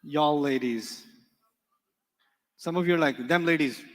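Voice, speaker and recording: A man with a fairly high voice teaches a live class. His first language is Urdu, and he keeps it playful, drilling students and telling stories. The sound carries a long reverb from a big lecture hall. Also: measured pace. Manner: playful, joking, quizzes students in call-and-response. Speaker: Arabic teacher.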